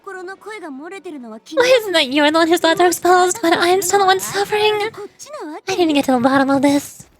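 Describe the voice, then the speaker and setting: A woman's voice: extremely high pitch, lively intonation, moderate pace, pauses often, soft background music. A woman voicing a proud, prickly and easily flustered female shaman elder from a game. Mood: indignant. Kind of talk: game dialogue read aloud.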